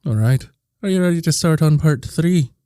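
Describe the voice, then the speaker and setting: Someone playing an earnest comic editor, deep soft-edged voice, expressive, prodding, dry close sound. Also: moderate pace, smooth, dry recording, lightly acted. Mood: earnest, exasperated. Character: earnest, drama-loving, exasperated comic book editor. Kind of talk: audio drama banter.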